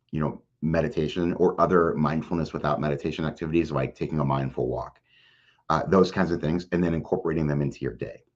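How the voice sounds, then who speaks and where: A man with an extremely low, husky voice talks through practical advice as a guest. His wording is plain and conversational, full of "like" and "you know". The sound is somewhat dull and lo-fi. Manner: plain-spoken, explanatory, peppered with "like" and "you know". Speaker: podcast guest, mental health advocate.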